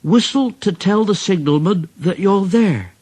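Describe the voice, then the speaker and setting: A kindly, sensible engine gives advice in a quick, earnest voice pitched a little high, as a man telling the story puts him on. He sounds like a caring older friend. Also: expressive, a little mellow, breathy edge, no room sound, exaggerated voice. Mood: warning. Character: kind older male tank engine giving advice.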